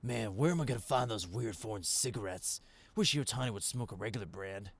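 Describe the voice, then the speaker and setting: A man's voice: on the low side, brisk, creaky, a bit bright, no room sound. A man playing a cocky yet cowardly teenage gang lackey, slangy and complaining, in an audio drama. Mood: grumbling.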